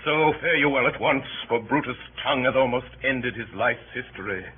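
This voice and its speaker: An actor playing a noble, weary defeated Roman general in solemn, measured, declamatory radio Shakespeare. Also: male voice, mid-range voice, close to an everyday voice. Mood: proud farewell. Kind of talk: 1930s radio Shakespeare acting. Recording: scratchy, muffled 1930s radio broadcast.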